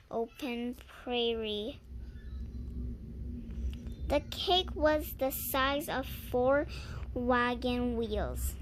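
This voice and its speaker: A young storyteller reading aloud haltingly, sounding out and repeating words, recorded on a handheld phone. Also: medium pitch, a bit bright, a little hiss or hum. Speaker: reader and channel host.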